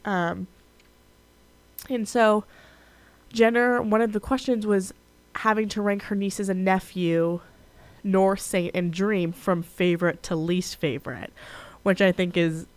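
A young woman hosting a college radio show alone, chatting casually; she sounds congested, nasal and a little raspy from being sick. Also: medium pitch, steady tone, slow pace, pauses often, dry recording. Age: young adult. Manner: chatty, frequent "like" and "um", restarts. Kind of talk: casual solo radio chat.

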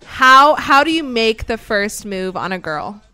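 A woman leading a playful chat between friends, quick to tease and laugh. Her voice is bright and fairly high, with a light edge. Soft music plays faintly behind her. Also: very animated, smooth. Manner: teasing, bossy, laughs often. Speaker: host. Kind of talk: casual chat.

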